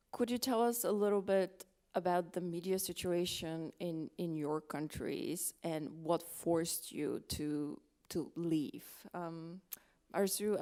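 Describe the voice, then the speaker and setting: A woman from Bulgaria moderating a live panel, introducing it to an audience in a hesitant, halting way with many ums. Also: fairly low pitch, a little mellow.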